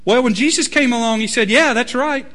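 A man preaching a sermon, swinging between loud emphatic peaks and low quiet asides. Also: fairly quick. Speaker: pastor.

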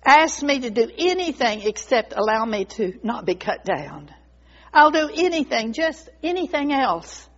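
Woman as pleading, high-voiced bamboo tree. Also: animated, moderate pace, few pauses, a bit bright, big-room sound. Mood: anguished pleading. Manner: earnest, pleading, dramatic. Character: proud, devoted bamboo tree, pleading and wounded. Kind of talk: storybook character voice. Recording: dull lo-fi recording.

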